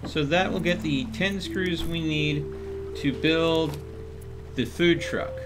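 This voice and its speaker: A man's voice: on the high side, slow pace, music in the background. Man thinking aloud on a game stream. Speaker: game livestreamer, host. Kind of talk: casual live game commentary, chatting with viewers.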